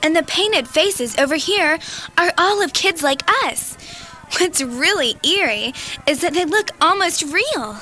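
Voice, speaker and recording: Young girl, eager, curious, high voice, unhurried pace, spooky audio drama. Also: expressive, sharp tone, full character voice. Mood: eager, intrigued. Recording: old cassette with faint music.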